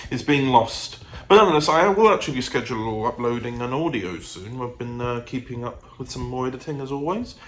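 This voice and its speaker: A man chatting casually to his vlog audience in a rambling, self-interrupting way. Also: medium pitch. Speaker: vlogger and audio drama producer. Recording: noticeable background noise.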